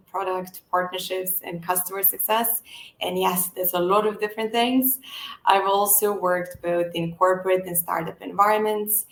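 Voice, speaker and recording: A woman from Serbia giving a conference talk, speaking in a measured, earnest way with frequent "uh" and "um" pauses. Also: lowish voice, fairly bright tone, roomy sound, poor audio. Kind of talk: presenting a business talk.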